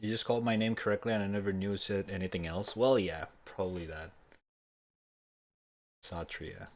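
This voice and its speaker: A man's voice: low voice, steady tone, moderate pace. A young man from Indonesia chatting casually on a live stream, rambling and swearing in a laid-back way.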